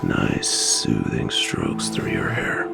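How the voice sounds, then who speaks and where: A man playing a tender, guilt-torn lover in an intimate audio roleplay, speaking in a hushed, halting, soothing way. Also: close to an everyday voice. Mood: fond, soothing. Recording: music under the voice.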